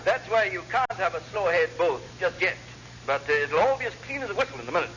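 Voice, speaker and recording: High-pitched, dithering naval engineer. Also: male voice, animated, quick pace, a bit bright, muffled recording. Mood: blithe, apologetic. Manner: rambling, chatty, repeats himself. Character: dithering, cheerfully apologetic naval engineering lieutenant, blithely incompetent. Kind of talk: classic radio sitcom acting. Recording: noisy old radio comedy recording.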